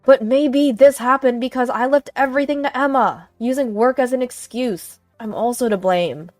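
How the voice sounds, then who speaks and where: A woman's voice: sits high, moderate pace, dry recording, faint music behind, pitched above the performer's own voice, lightly acted. Resolute weary father for audio story, voiced by a woman, blunt delivery, dry recording. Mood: remorseful. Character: firm, weary, responsible adult son and father.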